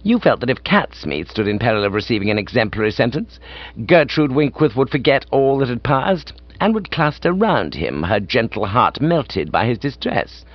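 A man voicing an excitable, pompous upper-class English gentleman in a comic audiobook, breezy and self-satisfied. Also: mid-range voice, normal speed, dry recording, lo-fi sound. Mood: breezy, pleased.

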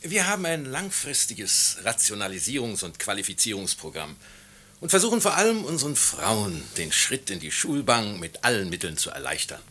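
A man's voice: on the low side, big pitch swings, moderate pace, sharp tone, husky, exaggerated voice. A man playing a proud, officious factory director giving a stiff, declamatory official statement in a radio play.

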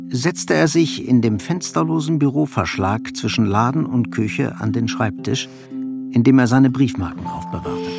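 Narrator for literary radio drama: man, creaky mid-range voice, measured scripted delivery over faint music. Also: mid-range voice. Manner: measured, storytelling.

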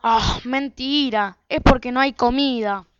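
A woman's voice: sits high, unhurried, smooth, no room sound. A woman playing an easygoing husband and father in an amateur radio play. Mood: resigned. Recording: dull lo-fi home recording.

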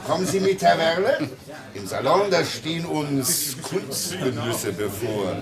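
Leisurely, gossipy gentleman. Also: fairly high pitch, lively intonation, measured pace. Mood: sly, chummy. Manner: chummy, insinuating. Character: jovial, gossipy court chamberlain, well-fed society guest. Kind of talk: German radio play acting. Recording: party crowd murmur behind.

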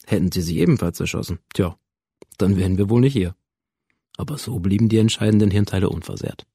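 Bureaucratic police scientist, radio drama dialogue, matter-of-fact, formal, dry close sound. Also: male voice, on the low side, a little fast, warm tone, slightly rough, dry recording. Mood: coolly factual. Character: coolly clinical male police researcher explaining a simulation.

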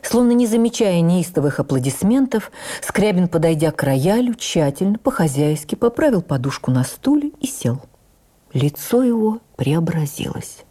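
An actress playing a stage actress who recalls her youth with admiring wonder, in measured, literary, expressive radio narration. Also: lowish voice. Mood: reflective, admiring. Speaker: actress reading a memoir on radio. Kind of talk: dramatic first-person memoir narration for radio.

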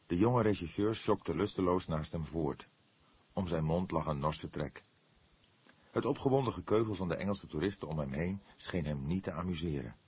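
A man's voice: low-pitched, moderate pace, darkish tone, slightly rough. A man reading scripted literary narration as an audiobook narrator.

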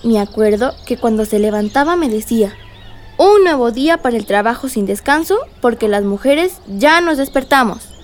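A woman's voice: on the high side, expressive, moderate pace, close to an everyday voice. A female performer playing a curious, affectionate young girl in a radio drama, asking innocent questions. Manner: inquisitive, innocent.

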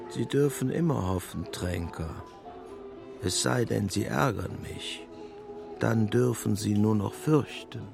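An actor playing a condescending, menacing Nazi propaganda minister in a German radio play. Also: male voice, lowish voice, takes it slowly, vocal fry. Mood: menacing, commanding. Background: music.

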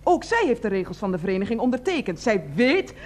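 A woman's voice: on the high side, big pitch swings, quick pace, darkish tone. Fussy old lady running a residents club, wheezy, preachy, keeps repeating herself, radio drama. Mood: indignant. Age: older. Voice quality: wheezy, asthmatic breathing. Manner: long-winded, formal, repetitive, self-righteous. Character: fussy, indignant older woman heading a residents' association. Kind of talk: Dutch radio play acting.

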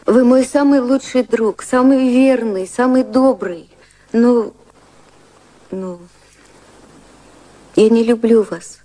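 An actress playing a candid, proud younger society woman with a romantic streak in a radio play, speaking in a formal, frank, measured way. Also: on the high side, warm tone, low-quality recording.

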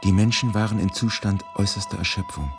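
Very deep-voiced man narrating gravely over music. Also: fairly even intonation, fast talker. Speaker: reader of a historical eyewitness testimony. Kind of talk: scripted dramatic documentary narration for radio.

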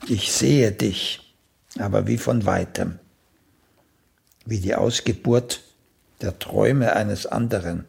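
An actor playing an aging celebrated playwright and mentor in a radio play, raspy, blunt and pedantic, with a dryly cutting drawl. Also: male voice, fairly low pitch, slow pace, long pauses, darkish tone, exaggerated voice. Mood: reciting slowly. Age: older. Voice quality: raspy, gravelly. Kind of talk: German radio play acting.

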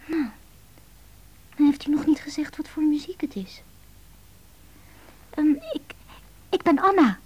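An actress in a radio play playing a shy, hesitant woman who speaks softly and stammers on the phone. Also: high voice, expressive, measured pace, pauses often, slight background noise. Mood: shy, excited. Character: shy, hesitant woman phoning a stranger's answering machine. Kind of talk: naturalistic Dutch radio drama acting.

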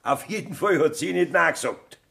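An actor playing a domineering, brazen middle-aged farmer father with a gravelly voice and a Bavarian accent, confrontational, in a radio drama. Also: on the high side, moderate pace, a bit bright. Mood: angry, challenging. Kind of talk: radio drama acting in dialect.

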